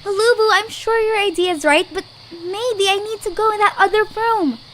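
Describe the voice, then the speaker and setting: Very high, bright young cartoon creature. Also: female voice, normal speed, slight background noise. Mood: reasoning. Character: plucky, exasperated young cartoon creature leading friends. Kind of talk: audio drama character acting.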